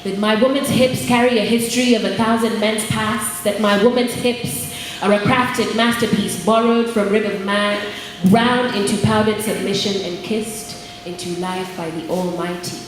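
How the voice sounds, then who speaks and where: A young woman from Kenya delivers spoken word poetry live, with measured, rhythmic phrasing and repeated lines. Her voice rings through a large, reverberant hall with a long tail. Steady room noise sits underneath. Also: medium pitch.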